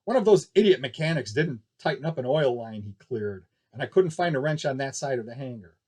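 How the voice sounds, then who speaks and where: Cocky male flyer villain, as a narrator reads him: scornful, grumbling, slightly husky audiobook delivery. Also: medium pitch, expressive, fairly quick, darkish tone. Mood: defensive, sneering. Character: cocky, sour younger male star stunt pilot, villain. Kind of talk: audiobook character dialogue.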